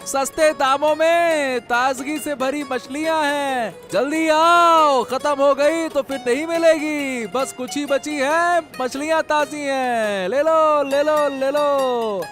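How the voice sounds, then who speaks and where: A man's voice: very high voice, takes it slowly, few pauses, a bit bright, soft background music, heavily acted. A man voicing a busy, good-natured village fisherman in a cartoon story, repeating himself as he goes. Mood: hawking loudly. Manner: repetitive, placating, calling out.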